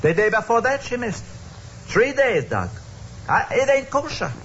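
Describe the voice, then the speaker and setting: A high-voiced, very animated kindly, worrying deli man with a New York Yiddish accent. He pleads emphatically in old radio style, through a dull, noisy recording. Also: a little slow, full character voice. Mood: worried. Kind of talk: old-time radio acting.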